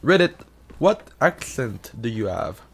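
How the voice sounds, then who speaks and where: A man's voice: mid-range voice, big pitch swings, unhurried, gravelly. A young man with a Quebec French accent, partly Americanized, rambling in a casual vlog full of swearing, "uh" fillers and restarts. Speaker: YouTuber answering Reddit questions.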